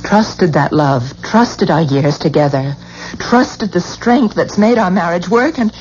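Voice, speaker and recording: An actress playing an anxious, strong-willed wife in a radio drama, theatrical and insistent. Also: female voice, lowish voice, unhurried, darkish tone, natural voice. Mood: defiant, pleading. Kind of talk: 1970s American radio drama acting. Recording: muffled old radio broadcast with faint hiss.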